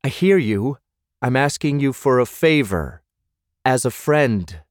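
A man's voice: mid-range voice, expressive, takes it slowly, no echo, clean studio sound. A man voicing a desperate, strained young man in an audiobook. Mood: pleading. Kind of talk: audiobook character dialogue.

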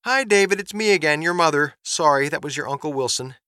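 A man voicing an excitable, chatty, flustered middle-aged mother in an audiobook, gushing and rambling through phone messages. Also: on the high side, fast talker, clear, resonant tone, dry recording, clean studio sound, voice pushed up. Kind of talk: audiobook character voice.

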